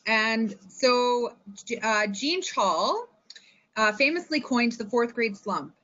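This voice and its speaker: Canadian lady teaching informally, kinda high voice, chatty and expressive, muffled lo-fi audio. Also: slow. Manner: animated, expressive, digressive. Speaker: teacher librarian and presenter. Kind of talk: informal instructional talk.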